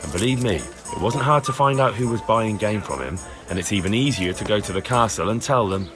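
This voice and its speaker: A man voices a resolute young man from a video game cutscene. His voice sits low, and he asks pointed, matter-of-fact questions while music plays behind him. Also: expressive, a little fast, lightly acted. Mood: insistent. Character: determined young man searching for a missing villager.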